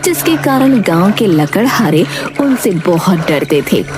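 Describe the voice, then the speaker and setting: A woman's voice: mid-range voice, very animated, a little slow. A woman narrating a scripted children's story in a storytelling style. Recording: music behind narration.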